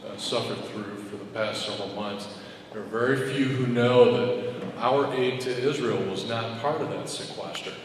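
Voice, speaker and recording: An American man speaking up as an audience member at a public meeting. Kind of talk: making a prepared point from the audience. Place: large indoor public meeting space. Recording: boomy echoing hall, distant, noisy.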